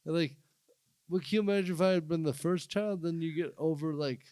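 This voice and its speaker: A man's voice: on the high side, darkish tone, smooth, dry recording, studio quality. A man from Minnesota hosting a podcast, trading jokes in casual, self-mocking banter. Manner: joking, self-mocking, halting. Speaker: comedian and podcast host. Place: studio.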